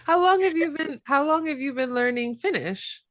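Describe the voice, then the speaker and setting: A woman hosting an interview podcast about languages, upbeat and quick to laugh. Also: high voice, a bit bright, no room sound. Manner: upbeat, laughs often, enthusiastic. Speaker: podcast host.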